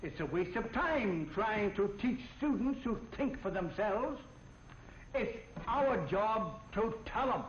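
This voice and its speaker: An actor playing a rigid, dogmatic trainer of teachers in a 1940s educational film, lecturing sternly and dismissively. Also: male voice, sits high, animated, takes it slowly. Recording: roomy, muffled, noisy 1940s film soundtrack.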